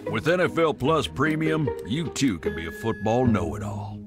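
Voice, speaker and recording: A man's voice: medium pitch, sing-song, moderate pace, music in the background, natural voice. A man playing a mock-serious narrator in a comic commercial. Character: deadpan male narrator mock-praising a teenage know-it-all.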